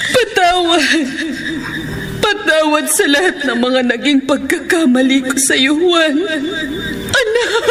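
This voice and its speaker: A weeping, high-voiced remorseful mother. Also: animated, fairly bright tone, a little hiss or hum, heavily acted. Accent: Filipino. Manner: tearful, melodramatic, drawn-out repetition. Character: remorseful, weeping older mother begging her son's forgiveness. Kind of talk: Filipino radio drama acting. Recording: melodramatic music behind the voice.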